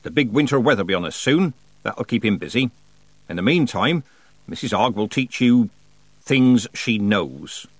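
A man plays a stern old witch woman from a storybook. She is curt and stubborn, with an expressive voice that sits close and dry. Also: medium pitch, moderate pace, no echo, close to an everyday voice. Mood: stubborn, assured.

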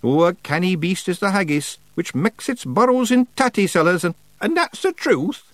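A man's voice: sits high, animated, moderate pace, no echo, voice pushed up. A man voicing a tiny, wily male fairy clan leader with a Scottish accent, glib and careful, in an audiobook. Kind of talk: audiobook character voice.

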